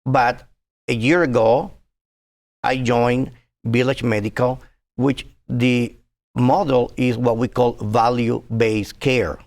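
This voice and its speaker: A man in his sixties from Cuba, speaking as a physician guest in a TV interview, earnest and halting with many fillers. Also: mid-range voice, steady tone, a little mellow.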